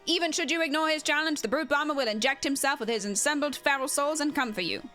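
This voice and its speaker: A woman's voice: high voice, fast talker, pushed and bright, faint music behind. A woman voicing a dramatic, indignant male wrestling announcer from a video game, speaking emphatically and formally in an amateur dub. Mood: grave. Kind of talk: amateur voice acting of game dialogue.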